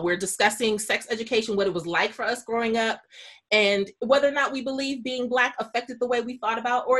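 A woman's voice: a little high, fairly bright tone. Woman hosting an online panel. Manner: chatty, housekeeping announcements with asides. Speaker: host and moderator of an online panel. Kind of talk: informal spoken event introduction. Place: online video call.

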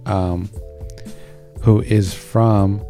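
A man's voice: on the low side, fairly even intonation, drawn-out words, dark tone. A man co-hosting a music show, chatting informally and enthusiastically in slangy language as he introduces songs. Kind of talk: casual chat introducing songs.

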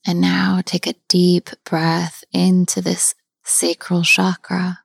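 A woman guiding a chakra body scan meditation, speaking softly and often half-whispering, with long pauses. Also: lowish voice, monotone, creaky, breathy edge, no echo. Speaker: meditation guide. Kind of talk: guided meditation.

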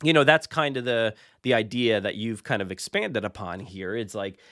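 A man hosting a podcast in casual chat, talking in a rambling, excitable way and often interrupting himself. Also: on the low side, normal speed, no echo, clean studio sound. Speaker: podcast host.

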